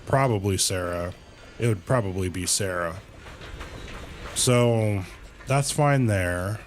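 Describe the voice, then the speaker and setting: A man livestreaming a game with casual commentary, thinking aloud in a halting way and repeating phrases. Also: on the low side, slight background noise.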